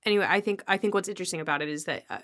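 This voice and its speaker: Lady hosting a chatty podcast, kinda low voice, thinking things through out loud. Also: very fast. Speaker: podcast host. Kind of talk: casual podcast conversation.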